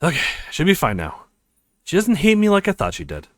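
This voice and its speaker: A man's voice: fairly high pitch, wildly swooping pitch, brisk, no echo. A man reading a visual novel's narration aloud as a let's play host. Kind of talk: dramatic reading of game dialogue and narration.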